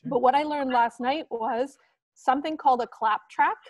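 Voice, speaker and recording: A woman's voice: sits high, normal speed, dry recording, highs cut off. A woman, a teacher explaining something informally to peers on a video call. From Canada. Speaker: middle school teacher.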